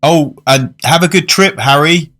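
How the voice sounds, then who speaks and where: An actor playing an urbane, polite man who narrates a macabre tale in a radio drama, with measured, genteel storytelling. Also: fairly high pitch, pushed and bright. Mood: polite, flustered. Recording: music behind the voice.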